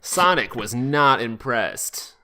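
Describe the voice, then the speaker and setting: A man hosting a podcast, reading fan fiction aloud in a jokey, deliberate way with frequent asides. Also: mid-range voice, lively intonation, pushed and bright. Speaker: podcast host.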